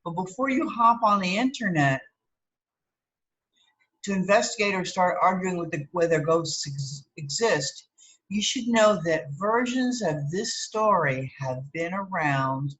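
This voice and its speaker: A woman's voice: lowish voice, takes it slowly, a bit bright, rough recording. A woman with an American accent teaching through a read-aloud, adding explanatory asides and often checking in with "okay?".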